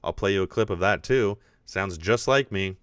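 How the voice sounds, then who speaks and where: A man hosting a video podcast, talking casually and excitedly with frequent restarts in a deep voice. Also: moderate pace, clean tone, dry recording, studio quality. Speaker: podcast host.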